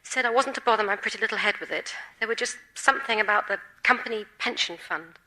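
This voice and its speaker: Creaky, despairing lovelorn assistant. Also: female voice, medium pitch, sharp tone, rough recording. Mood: wistful confessing. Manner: halting, earnest confession. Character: desperate, lovelorn female personal assistant, meek and despairing. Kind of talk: radio comedy drama acting.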